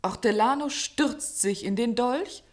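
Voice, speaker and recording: A woman playing an earnest, persistent investigator who speaks deliberately and insistently in a radio play. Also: fairly high pitch, lightly acted. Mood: earnest, pleading. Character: earnest, persistent woman questioning a murder conviction. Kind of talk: German radio play acting.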